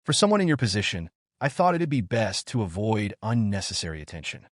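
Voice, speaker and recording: A man voicing a soft-spoken gentle young woman. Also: on the low side, very animated, brisk, dry recording, studio quality. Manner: soft, gentle and warmly polite.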